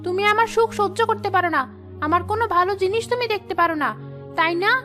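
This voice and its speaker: Woman doing spiteful vain young wife in a cartoon, super high pitched, taunting, music under it. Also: quick pace, pushed and bright. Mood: shrill accusing. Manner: haughty, taunting. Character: vain, spiteful young sister-in-law, haughty and scheming. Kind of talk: melodramatic cartoon story dialogue.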